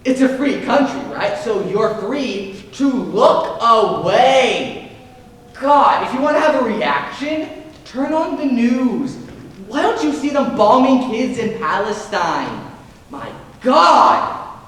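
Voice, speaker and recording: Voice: mid-range voice, sing-song, slow, fairly bright tone. A young performer playing a scornful teenage student who rants and scoffs, heard on stage from far off in an echoey hall. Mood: ranting. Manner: scoffing, ranting.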